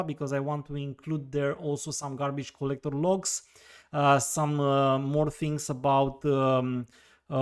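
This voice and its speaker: A man with a Romanian accent hosting a live question-and-answer session, thinking aloud with frequent fillers and repeated words. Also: medium pitch. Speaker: host answering viewer questions.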